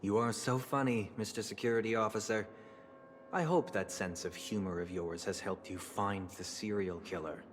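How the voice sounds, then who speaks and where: A man voicing a condescending, coldly menacing authority figure in a video game, speaking in a polished, measured way. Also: lowish voice, lightly acted. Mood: mocking. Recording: long hall reverb, faint noise.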